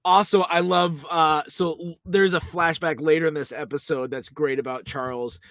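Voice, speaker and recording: A man co-hosts a casual podcast, talking through a TV episode. His voice sits a little high, and he animates his retelling with quick character voices. The sound is dry and close. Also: fairly even intonation, a bit bright, no echo. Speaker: podcast co-host. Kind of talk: casual chat about a TV episode.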